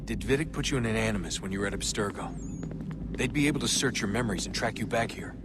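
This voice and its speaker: Man playing an earnest young assassin, lowish voice. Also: fast, over loud music, natural voice. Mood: serious. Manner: casual, understated. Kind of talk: video game cutscene acting.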